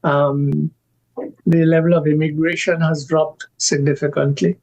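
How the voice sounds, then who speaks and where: An older man with a Trinidadian accent, a guest analyst who speaks haltingly with many restarts and strong emphasis. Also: on the high side, level tone, darkish tone, low-quality recording. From Trinidad and Tobago. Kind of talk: current affairs discussion.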